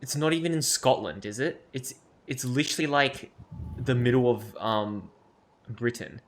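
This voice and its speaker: A man's voice: mid-range voice, moderate pace. A man streaming a game, chatting casually in a sweary, argumentative, teasing way. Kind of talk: casual banter while livestreaming a game.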